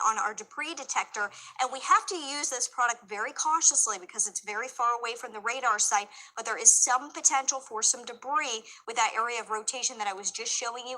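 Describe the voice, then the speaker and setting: A woman giving live severe weather commentary as a TV forecaster, explaining carefully and in a measured way. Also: a little high, pushed and bright, low-quality recording.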